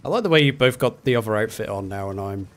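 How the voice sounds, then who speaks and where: A man's voice: lowish voice, animated, fast. A man chatting casually as he plays a co-op game with friends, swearing and teasing them as he goes. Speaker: co-op gamer playing with friends. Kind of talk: casual gameplay chat and commentary. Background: game music and effects.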